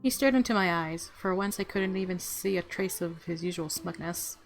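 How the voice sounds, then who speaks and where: A woman streaming a game, reading its story aloud and reacting with casual, excited commentary as she thinks aloud. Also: fairly low pitch, normal speed, soft background music, poor audio.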